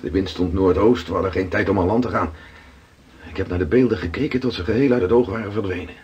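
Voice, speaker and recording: An actor playing a weathered seafarer in a radio drama, telling his adventures slowly and with awe in a rough voice. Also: low voice, a little mellow, lo-fi sound. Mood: earnest. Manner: slow, dramatic storytelling. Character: weathered Dutch seafarer Gerrit, awed storyteller, around 1600.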